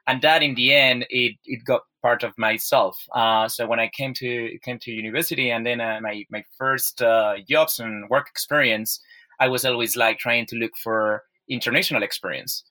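A man's voice: medium pitch, fairly even intonation, bright, forceful tone. A man from Spain with a Spanish accent, a podcast guest speaking English, often restarting his sentences. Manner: halting, with repeated restarts. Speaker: guest, software sales executive.

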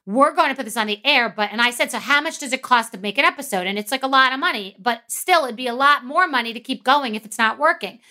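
A woman's voice: high voice, animated, fast talker, hardly any pauses, pushed and bright. A woman telling a story in a blunt, casual way and swearing freely.

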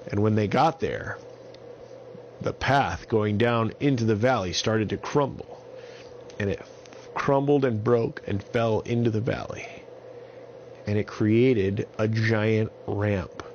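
A man's voice: on the low side, takes it slowly, a little mellow, dull audio, slight background noise. A man telling an improvised bedtime story to small children in simple, repetitive phrases.

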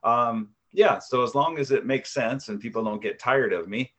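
A man's voice: medium pitch, normal speed, fairly bright tone. A man in his fifties chatting as an interview guest, humble and self-deprecating, with many fillers and restarts. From United States. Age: 50s. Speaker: guest, author and video creator. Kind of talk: conversational interview answers.

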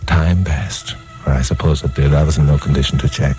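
A hardboiled radio detective with a very low voice. He tells his story in wry, slangy lines and mocks himself as he goes. It is a 1950s radio crime drama with music. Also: fairly even intonation, fairly quick, dark tone. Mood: wry narration. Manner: wry, slangy, self-mocking. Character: hardboiled wisecracking radio detective hero, narrating his own case.

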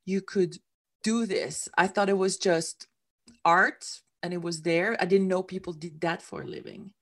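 A woman's voice: lowish voice, fairly even intonation, unhurried, a bit bright. A middle-aged woman from Switzerland, a guest telling her story in a candid interview, speaking English as a with small grammar slips. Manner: reflective, with some non-native grammar slips.

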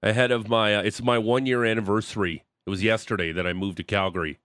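A man's voice: lowish voice, fairly even intonation, fast, a bit bright, no echo. A man with an Ontario accent hosting a sports radio show, chatting casually and poking fun at himself. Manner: chatty, self-mocking. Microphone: studio mic.

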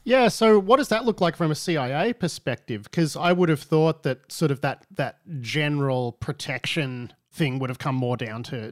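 A man's voice: medium pitch, no room sound, studio quality. A man hosting an interview, asking questions casually and thinking aloud. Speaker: interview host.

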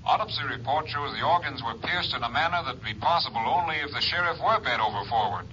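An actor playing a businesslike police captain giving a lab report in a 1950s radio drama. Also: male voice, mid-range voice, lively intonation, fast talker, bright, forceful tone, slight background noise. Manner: matter-of-fact, reporting. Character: brisk, businesslike male Ranger captain. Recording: muffled old radio broadcast.

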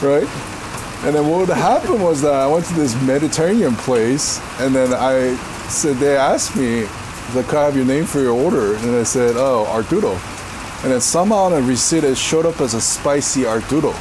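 A man from Korea with a deep voice, answering interview questions in a rambling, casual way with many fillers. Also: expressive, normal speed, noisy background. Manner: rambling, filler-heavy, laughs easily. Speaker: interview guest.

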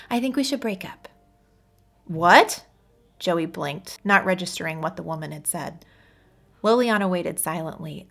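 A woman's voice: lowish voice, creaky. A woman reading a story aloud as scripted audiobook narration. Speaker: audiobook reader.

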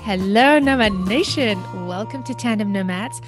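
A woman's voice: on the high side, moderate pace, faint music behind. A woman hosting a podcast interview, upbeat and enthusiastic, introducing her guest. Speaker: podcast host.

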